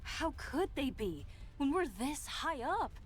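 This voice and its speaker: An actress playing a capable, protective young soldier and technician with an anxious streak in an anime game. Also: female voice, sits high, sing-song, slow, exaggerated voice. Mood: alarmed, frantic. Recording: game music behind.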